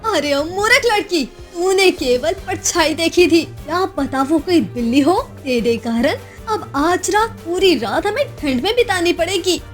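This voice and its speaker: Woman acting a scornful, high-voiced village aunt. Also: lively intonation, a little slow, few pauses, faint music behind. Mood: scolding. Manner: sharp scolding, mocking. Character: harsh, scornful village aunt, scolding and dismissive.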